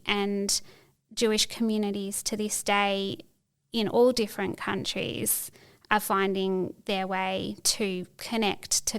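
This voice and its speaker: A middle-aged woman being interviewed on a podcast, speaking thoughtfully and pausing to find her words, often restarting a phrase. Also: medium pitch, vocal fry, smooth. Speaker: podcast guest. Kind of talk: reflective interview conversation.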